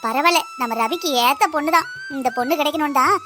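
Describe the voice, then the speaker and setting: A high, quick voice of a woman playing an elderly village grandmother in a cartoon. She rambles fondly in homely speech with a southern Tamil Nadu accent. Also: bright, forceful tone, faint music behind. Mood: chatty. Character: old village grandmother, chatty and sentimental. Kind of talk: Tamil comedy cartoon dialogue.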